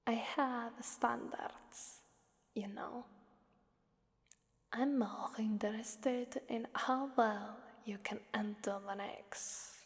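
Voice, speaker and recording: A woman voicing a haughty, sadistic female Krampus demon in an ASMR roleplay, soft and often whispering, taunting and commanding. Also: a little high, steady tone, extremely slow. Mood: condescending, teasing. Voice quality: soft, breathy whispering in many lines. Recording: long cavernous reverb.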